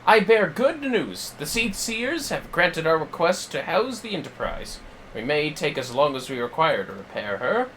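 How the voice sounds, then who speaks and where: A man voicing a pompous, eloquent young gentleman scholar from a fantasy game, with florid, theatrical diction. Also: on the high side, big pitch swings, moderate pace, slight background noise, voice pushed up. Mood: earnest, declaiming.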